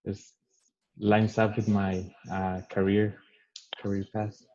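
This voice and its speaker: A man's voice: on the low side, little pitch movement, warm tone. Hesitant man, lo-fi audio. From Colombia. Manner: hesitant, halting. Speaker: engineer. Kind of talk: self-introduction on a video call. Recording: dull, lo-fi call audio.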